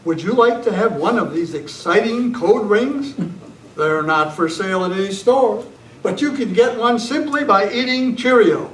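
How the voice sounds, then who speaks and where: A man reading scripted narration and announcements in the style of an old-time radio show, as an amateur. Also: high-pitched. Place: club meeting room. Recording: roomy, distant camera mic, faint noise.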